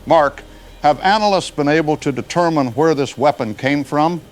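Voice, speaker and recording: A man playing a serious, probing news anchor in an audio drama broadcast, measured and formal with a concerned manner. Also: on the high side, natural voice.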